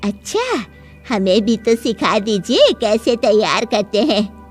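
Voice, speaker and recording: A woman's voice: a little high, sing-song, slow, breathy edge, soft background music, exaggerated voice. A woman voicing a chatty, good-natured visiting older woman in a cartoon moral story, sociable and coaxing.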